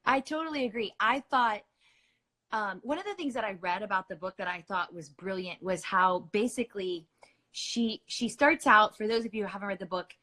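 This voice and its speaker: A woman's voice: mid-range voice, fairly bright tone. Chatty woman hosting a book club. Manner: chatty, playful, lots of fillers. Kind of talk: casual chat.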